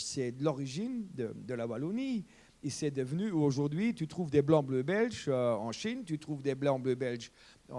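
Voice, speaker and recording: A man's voice: a little high, warm tone. A German-speaking man on a debate panel, speaking French with passion, repeating himself and rambling as he argues. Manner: impassioned, repeats words, rambling. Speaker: farmer panelist.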